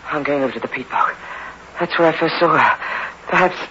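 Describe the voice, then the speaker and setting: An actor playing a haunted, insistent wounded convict, halting and earnest, in a 1960s radio drama. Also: male voice, a little high, close to an everyday voice. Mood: stubborn, resolute. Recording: dull, hissy old radio recording.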